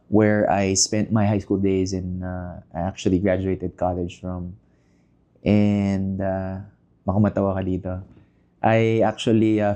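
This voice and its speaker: A man, a podcast guest who talks through his answers with many pauses and self-deprecating asides. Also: low voice, mellow. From Philippines. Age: 30s. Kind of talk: casual interview chat.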